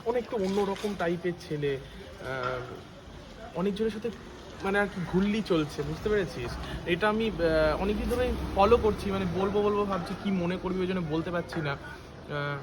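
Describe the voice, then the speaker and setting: A young man acting an awkward, gossiping friend in an amateur short film, speaking haltingly and evasively. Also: high voice, natural voice. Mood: hesitant, awkward. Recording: noisy amateur recording with ambient background noise.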